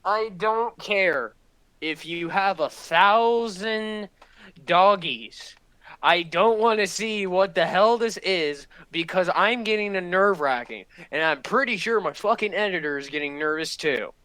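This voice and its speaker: A man's voice: high-pitched, a little slow, sharp tone, clear, resonant tone, no room sound. A man giving casual running reaction commentary, blunt and sweary. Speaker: reaction video host.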